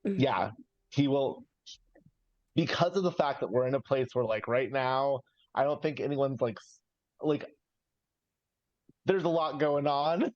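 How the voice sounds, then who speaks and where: A man improvising story narration as a player in a live tabletop roleplaying game, with halting, searching, thoughtful phrasing. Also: mid-range voice, dry recording, muffled recording.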